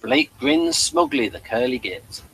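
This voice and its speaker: A man's voice: fairly high pitch, wildly swooping pitch, unhurried, creaky, fairly bright tone, slight background noise, poor audio. Man in casual review chat. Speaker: podcast co-host.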